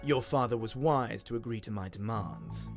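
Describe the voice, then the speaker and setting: A man's voice: fairly low pitch, normal speed, over music, voice pushed down, close to an everyday voice. Man playing a growling, vengeful old king. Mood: smug. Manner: growling, imperious.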